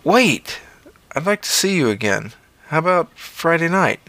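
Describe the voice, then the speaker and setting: A man's voice: fairly high pitch, very animated, measured pace, bright, forceful tone, no room sound, voice pushed up, heavily acted. A man voicing an eager, persistent former lover in an audio story, coaxing and insistent. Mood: pleading. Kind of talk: audio fiction dialogue reading.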